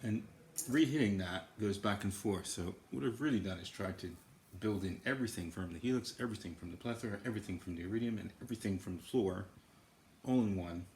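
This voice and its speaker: Low-voiced man in an echoey room. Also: quick pace, darkish tone, a little hiss or hum. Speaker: guitarist demonstrating effects gear. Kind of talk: casual gear demo, explaining as they go. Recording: echoey room, iPad recording. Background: processed guitar effects sounds.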